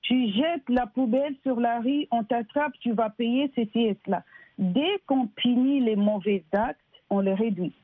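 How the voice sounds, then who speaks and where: A woman's voice: on the high side, a little slow, no echo. A woman from DR Congo with a Congolese French accent, answering as an interview guest in an earnest, emphatic way, explaining with examples. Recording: tinny, phone-like band-limited line.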